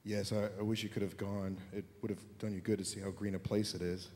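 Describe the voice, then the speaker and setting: A man playing a kindly, soft-hearted poor father in a staged radio drama, halting in his delivery. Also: on the low side, flat delivery, lightly acted. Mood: sad. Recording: reverberant theatre hall.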